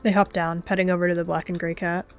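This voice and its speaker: A woman's voice: fairly low pitch, level tone, fast talker, smooth, soft background music. Woman narrating a dramatic story. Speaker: storyteller and roleplayer.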